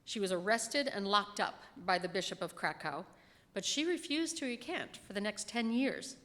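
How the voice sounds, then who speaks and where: A woman's voice: medium pitch, expressive, normal speed, fairly bright tone. Middle-aged woman reading a sermon in a reverberant hall. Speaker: lay reader delivering a minister's sermon. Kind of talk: sermon read from a script at a lectern. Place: church hall, indoors at a pulpit. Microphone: gooseneck lectern microphone. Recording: echoey hall with long reverb.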